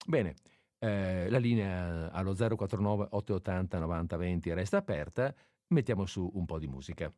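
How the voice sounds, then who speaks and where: Hesitant, mellow male radio host. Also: low voice, moderate pace, no echo. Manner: hesitant, searching for words. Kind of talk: unscripted cultural radio talk.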